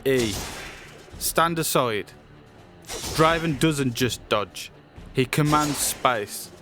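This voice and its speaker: A man's voice: mid-range voice, extremely slow, music in the background. A man presenting a game character showcase in a scripted promotional narration style.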